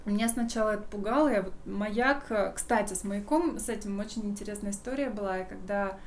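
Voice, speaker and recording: A woman's voice: medium pitch, fairly quick, slight background noise. A woman guest on a podcast, an embroiderer and shop owner, chatting casually and laughing often as she tells stories. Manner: lively storyteller, laughs often.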